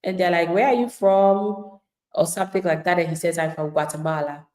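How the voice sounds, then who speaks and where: Woman, immigration attorney, emphatic, direct, dark mellow tone, roomy echo. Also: lowish voice, fairly even intonation, fairly quick. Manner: emphatic, plain-spoken, addresses viewers directly; acts out quoted replies of people she describes. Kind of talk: live-streamed legal advice talk.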